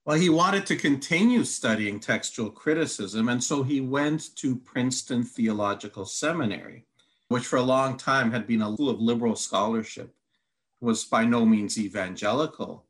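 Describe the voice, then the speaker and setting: A man's voice: mid-range voice, normal speed, a bit bright. A man whose first language is Hungarian, a Bible teacher reading long quotes aloud and laying out his answers point by point. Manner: methodical, reads long quotes, counts points. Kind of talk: teaching conversation.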